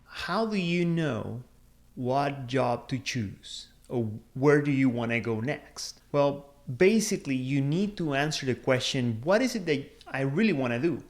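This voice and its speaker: A man in his thirties, raised in Peru, shares his career story to camera in a relaxed, personal way. His voice sits in an ordinary middle range. He pauses often, restarts phrases and leans on fillers while he thinks aloud. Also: mid-range voice. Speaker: career advice video host. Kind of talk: casual personal talk to camera.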